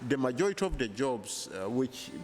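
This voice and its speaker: A man's voice: medium pitch, big pitch swings, darkish tone, slight background noise. Ugandan man, 30s, earnest interview guest, mid-range voice, handheld mic indoors. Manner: earnest, measured, frequent restarts. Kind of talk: casual interview. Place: bright hotel lounge indoors. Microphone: handheld lapel mic.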